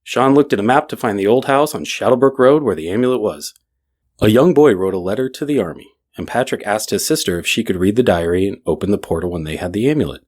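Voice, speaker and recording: A man's voice: fairly low pitch, lively intonation, fast, a little mellow. A man reading a scripted bedtime story as narrator.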